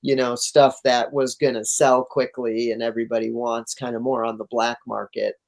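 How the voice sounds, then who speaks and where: A man with noticeable vocal fry and a mid-range voice talks as a guest in a casual interview. His delivery is laid-back and slangy, with clear enthusiasm for his subject. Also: medium pitch, level tone, normal speed, a little mellow. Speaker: interview guest, cannabis grower.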